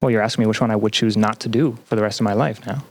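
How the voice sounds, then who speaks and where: A man chatting casually as a podcast guest, a little halting, with dry playful teasing. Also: on the low side, fairly even intonation. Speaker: podcast guest, student representative. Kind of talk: casual chat.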